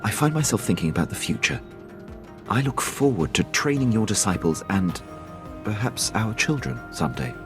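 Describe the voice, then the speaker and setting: A man's voice: lowish voice, very animated, moderate pace. An actor playing a formal, devoted male military officer in a video game, speaking earnestly and deferentially. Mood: earnest, tender. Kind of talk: video game cutscene acting. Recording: loud game music under dialogue. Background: game score.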